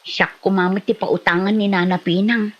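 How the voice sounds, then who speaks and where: A woman's voice: medium pitch, fairly even intonation, moderate pace, muffled recording, lightly acted. A woman acting a keen, inquisitive village neighbour in an Ilocano comedy drama, with a Filipino Ilocano accent. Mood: curious.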